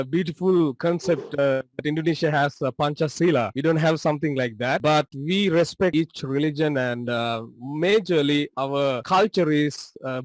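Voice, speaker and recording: A man's voice: a little high, dry recording, low-quality recording. A man from Sri Lanka with a Sri Lankan accent, a native Tamil speaker, presenting slides to students over a video call in a friendly, loose way with many fillers. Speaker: guest presenter.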